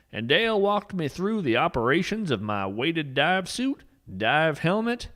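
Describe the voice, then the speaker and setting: A man's voice: a little high, fairly bright tone. A man playing a folksy, eccentric naturalist adventurer, narrating a whimsical fiction podcast in a theatrical, earnest manner. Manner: folksy, theatrical storyteller delivery. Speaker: podcast host and storyteller.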